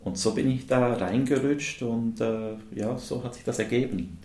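A man's voice: mid-range voice, normal speed, darkish tone. A man who grew up in India and whose first language is English, answering interview questions as a charity volunteer with many hesitations and filler sounds. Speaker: guest, dental technician, charity volunteer. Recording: roomy echo.

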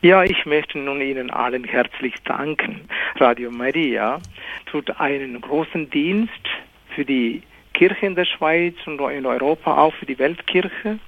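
Soft-spoken priest over a thin line. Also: male voice, fairly high pitch, a little slow, dry recording. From India, Kerala. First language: Malayalam. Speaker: priest, guest. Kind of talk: radio interview. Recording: narrow phone-like radio sound.

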